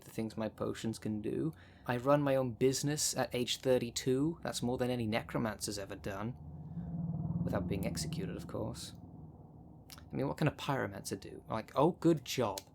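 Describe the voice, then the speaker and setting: A man's voice: mid-range voice, gravelly, a little hiss or hum, lightly acted. A man playing a jaded, sardonic thirty-two-year-old witch in an ASMR roleplay, talking in a dry, sarcastic, conversational way. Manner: dry, sarcastic, sweary, sighing.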